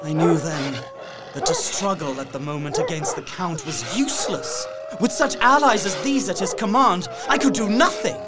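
A man playing an earnest, anguished young English gentleman held prisoner, narrating his diary dramatically in an audio drama. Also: high-pitched, normal speed, lightly acted. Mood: rising dread. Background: music under the voice.

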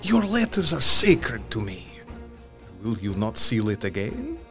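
Give this the voice of a sinister old vampire count in an audio drama. He speaks very slowly, with sweeping intonation. His courtesy is theatrical and full of menace, and faint music sits behind him. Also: lowish voice, huge pitch swings, bright, forceful tone, exaggerated voice. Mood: silky courtesy. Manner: deliberate, mock-courteous, theatrical.

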